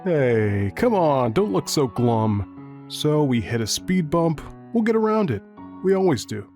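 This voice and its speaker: Male actor as laid-back skeleton assistant, very varied intonation, wry, hesitant, casual, soft music behind, comic dub. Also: on the high side, big pitch swings, measured pace. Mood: reassuring. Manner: casual, hesitant, dryly joking.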